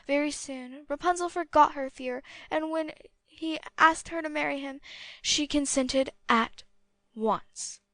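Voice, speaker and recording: A woman narrating a fairy tale as an audiobook, with a clear storytelling delivery. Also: high voice, a little slow, bright, forceful tone, no echo.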